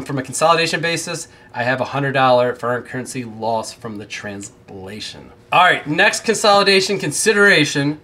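Bright, forceful American man teaching. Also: a little high. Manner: informal, self-deprecating asides. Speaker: accounting instructor. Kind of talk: explanatory tutorial, conversational. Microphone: close microphone on a boom arm.

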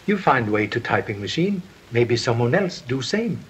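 An actor playing a calm, polite older male detective who speaks in terse, clipped sayings in a 1930s film. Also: medium pitch, normal speed, slight background noise.

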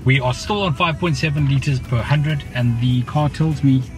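A man rambles on, filler-heavy, as he drives. His voice sits at an ordinary pitch and is caught from a distance inside the car. Loud music plays behind him. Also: moderate pace. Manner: rambling, chatty, full of fillers. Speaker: car reviewer. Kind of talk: casual vlog narration while driving.

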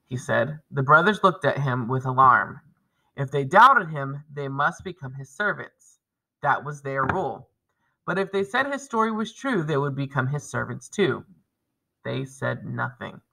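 Male schoolteacher, thirties, lively pitch movement and bright tone, explaining and reading to kids on a lesson video. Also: vocal fry, low-quality recording. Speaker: elementary school teacher.

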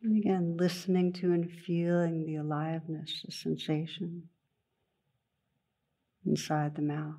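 A woman leading a guided meditation as a teacher, speaking gently and unhurriedly with long pauses between phrases. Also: deep voice, level tone, husky.

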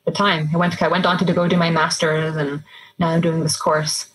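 A woman in her thirties chats casually as an interview guest, a nurse with a fairly low voice. She talks in a relaxed way, dropping in lots of "like" and quick asides. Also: husky, low-quality recording. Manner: chatty, quick asides with fillers like "like".

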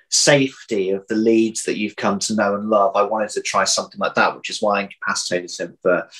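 A man in his thirties answering interview questions as a writer, speaking thoughtfully and haltingly with a self-deprecating touch, in a room at home. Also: fairly low pitch, a bit bright, rough recording. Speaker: interviewed audio drama writer. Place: domestic room indoors.

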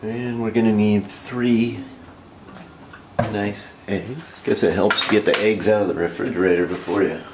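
A man in his thirties with a North American accent, giving a casual step-by-step cooking demonstration in a plain, halting way. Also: fairly low pitch, steady tone. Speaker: amateur cooking video host. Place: home kitchen, indoors. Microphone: camera microphone, a short distance away. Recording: roomy camcorder sound with clear noise.

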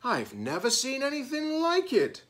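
A man's voice: very high-pitched, huge pitch swings, measured pace, a bit bright, voice pushed up, heavily acted. A man voicing a curious, puzzled young classmate in a storybook, full of amazement. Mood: amazed.

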